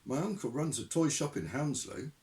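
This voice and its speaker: A man voicing a timid, nervous young man who speaks hesitantly and squeaks. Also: lively intonation, moderate pace, darkish tone.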